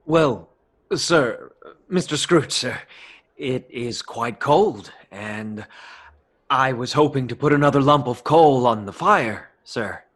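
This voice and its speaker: A man's voice: medium pitch, slow, fairly bright tone. An actor playing a timid, downtrodden male clerk in a radio play, hesitant and apologetically deferential. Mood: nervous, pleading.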